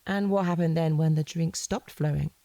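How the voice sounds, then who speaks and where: An actress playing a composed, probing woman in a naturalistic audio drama, measured, pointed and persistent. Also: deep voice, fairly even intonation, warm tone, dry recording. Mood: probing, firm. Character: composed, probing woman questioning an evasive man.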